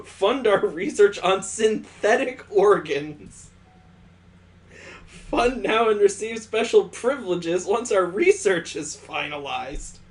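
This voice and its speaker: A man voicing a hammy television commercial announcer with drawn-out, exaggerated salesmanship, reading from a visual novel. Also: sits high, lively intonation. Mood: overblown.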